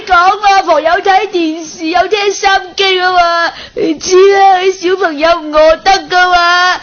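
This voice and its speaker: An actress playing a feisty, kind-hearted old granny, whining and scolding in a radio comedy. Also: female voice, very high voice, steady tone, normal speed, a bit bright. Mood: pained, insistent. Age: older. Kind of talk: improvised radio comedy drama. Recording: dull lo-fi, noisy broadcast.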